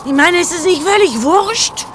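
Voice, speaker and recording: A woman's voice: extremely high pitch, lively intonation, normal speed. An actress playing a bitter, whining, theatrical widow who pines for her lover in a radio drama. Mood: grumpy, dismissive. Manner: curt, dismissive, theatrical. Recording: stormy wind noise behind voice.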